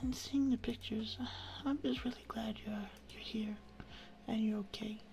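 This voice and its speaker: A woman hosting an ASMR live stream, chatting casually and affectionately to viewers in a soft, breathy voice that often drops into a whisper. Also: fairly high pitch, steady tone, normal speed. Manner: affectionate, intimate, uses pet names.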